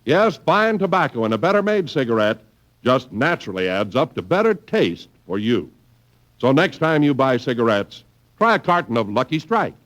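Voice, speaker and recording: Old radio announcer guy, smooth salesy read, lively voice. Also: mid-range voice, normal speed, rough recording. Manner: smooth, persuasive sales delivery. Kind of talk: 1950s radio commercial reading.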